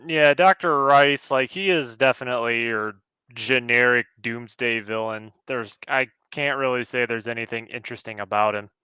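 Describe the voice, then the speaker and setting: A man's voice: medium pitch, moderate pace, pushed and bright, smooth, no echo, highs cut off. A man co-hosting a podcast, giving a casual, conversational film review. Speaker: podcast co-host.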